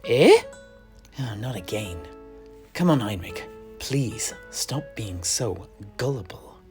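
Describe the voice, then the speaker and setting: A man playing a wry, hospitable tavern keeper in a fantasy audio drama, talking in a measured, old-fashioned way. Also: medium pitch, lively intonation, darkish tone, soft background music. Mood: exasperated. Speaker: host.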